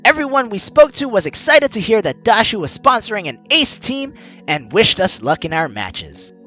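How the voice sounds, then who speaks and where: A man hosting a let's play, expressively reading game text aloud and commenting, on a close mic with music behind. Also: high voice. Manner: expressive, acts out lines as he reads.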